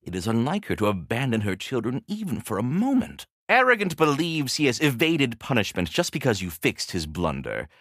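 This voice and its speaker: An actor playing a fussy, verbose, very polite talking squirrel in a video game, with ornate formal diction and theatrical courtesy. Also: male voice, medium pitch, wildly swooping pitch, moderate pace, few pauses, fairly bright tone, dry recording, clean studio sound, exaggerated voice. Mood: worried, indignant. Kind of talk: video game character acting.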